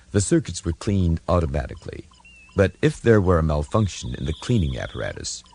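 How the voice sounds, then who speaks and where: A man's voice: low-pitched, creaky, a little mellow. A man reading dramatic scripted narration as an audiobook narrator.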